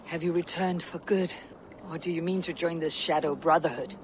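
High-voiced, stern Viking warrior played by a man. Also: steady tone, normal speed, slight background noise, lightly acted. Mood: devoted. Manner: blunt, solemn. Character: stern, loyal Viking warrior, blunt and fierce. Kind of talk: dramatic video game acting.

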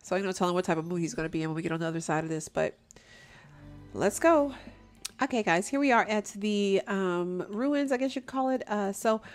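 A woman's voice: mid-range voice, expressive, a little fast, soft background music. A young woman, chatting casually over a video game and calling her viewers "y'all". She sounds nasal and stuffed up, and she laughs often. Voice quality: nasal from allergies. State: stuffy nose. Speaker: gameplay commentator. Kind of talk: casual let's play commentary.

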